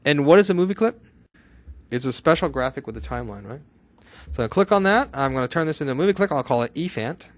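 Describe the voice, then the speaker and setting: A man giving a casual software tutorial as an instructor, chatty, with exclamations and frequent rhetorical check-in questions. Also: a little high, animated, fast.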